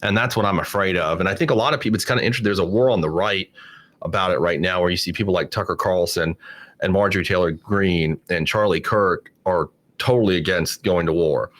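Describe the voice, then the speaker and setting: A man's voice: sits low, quick pace, a bit bright. A man in his forties talking politics in a casual podcast chat, rambling with many restarts and fillers. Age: 40s. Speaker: podcast guest.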